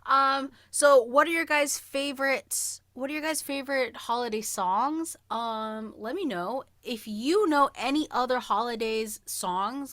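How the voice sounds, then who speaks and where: A woman's voice: on the high side, a bit bright, dry recording. A woman co-hosting a podcast, chatting casually in an informal, playful, slangy way. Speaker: podcast co-host. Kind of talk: casual banter and song guessing game.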